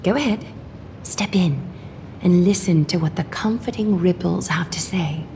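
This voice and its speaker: A woman playing a gracious, composed noblewoman in a video game, speaking formally and with soft command. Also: fairly low pitch, vocal fry, background noise. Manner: formal, measured, softly commanding. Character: poised, courteous noblewoman leader, gracious and composed. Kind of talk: scripted game character dialogue. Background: game music.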